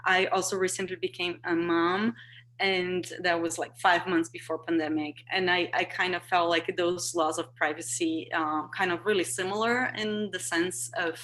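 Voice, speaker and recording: A woman's voice: fairly low pitch, fairly bright tone, slight background noise, rough recording. A woman in her thirties whose first language is Portuguese, talking casually on a video call and often restarting as she searches for words. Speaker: workshop participant. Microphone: video call microphone.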